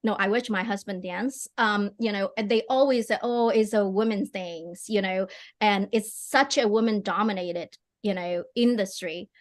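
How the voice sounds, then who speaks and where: A woman's voice: medium pitch, moderate pace. A middle-aged woman hosting a live online interview over a video call, chatty and enthusiastic, with many fillers and restarts. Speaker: host.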